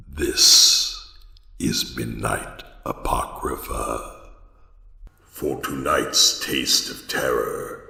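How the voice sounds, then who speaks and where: A man hosting a horror podcast with a deep gravelly voice, speaking slowly and menacingly. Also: sharp tone. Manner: slow, menacing delivery. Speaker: podcast host. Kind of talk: spooky horror podcast intro. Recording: long hall reverb.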